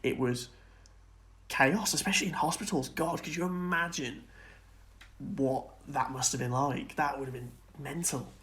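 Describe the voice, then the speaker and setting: A man with a medium-pitched voice talks as a podcast co-host. His delivery is informal and enthusiastic, in the style of a casual chat about screen entertainment. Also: fairly even intonation, moderate pace, fairly bright tone. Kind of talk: casual film and TV discussion.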